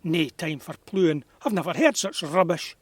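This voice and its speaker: An actor playing a stubborn, wistful old beekeeper with a Scottish accent and a folksy, hesitant way of talking in an audio drama. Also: male voice, fairly high pitch, animated, normal speed, no room sound. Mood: indignant. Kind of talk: naturalistic audio drama dialogue.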